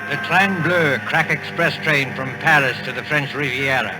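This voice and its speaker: A man narrating as a radio announcer in a dramatic 1940s style, with a measured, suspenseful delivery. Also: fairly high pitch, rough recording. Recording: old radio recording with music behind. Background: dramatic music.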